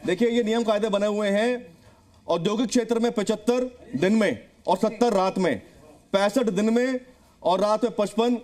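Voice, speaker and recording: A man's voice: high-pitched, normal speed, darkish tone. A man moderating a live television debate.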